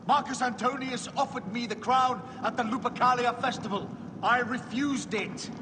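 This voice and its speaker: A proud roman dictator, grand speechy delivery, high voice, big echoey hall w noise. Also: male voice, normal speed. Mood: grandly persuasive. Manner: declamatory, oratorical. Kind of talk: dramatic video game cutscene acting. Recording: noisy, long reverberant hall.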